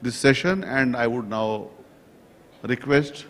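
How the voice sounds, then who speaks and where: Slow deliberate man in a hall. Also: mid-range voice, slight background noise. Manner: slow and deliberate, listing points with pauses. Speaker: session chair. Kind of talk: formal conference address. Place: large conference hall. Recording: reverberant conference hall.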